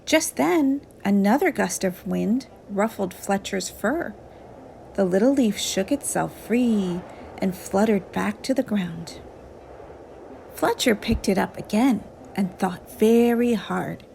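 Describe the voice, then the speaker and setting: Slow, creaky-voiced woman storyteller. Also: mid-range voice, lively intonation, mellow, slight background noise. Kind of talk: expressive children's story read-aloud. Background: music.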